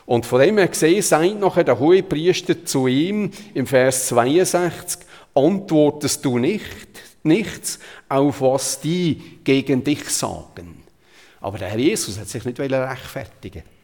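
A male preacher with a Zurich Swiss German accent speaks at a medium pitch, with long hall reverb around his voice. His delivery is conversational yet emphatic, in the style of a sermon that retells scripture. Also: animated, measured pace, darkish tone. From Zurich, Switzerland.

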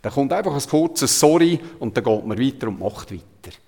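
A man's voice: medium pitch, expressive, normal speed, vocal fry, warm tone. Zurich Swiss man preaching in a reverberant hall. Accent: Zurich Swiss German. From Zurich, Switzerland. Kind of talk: sermon with Bible retellings, conversational.

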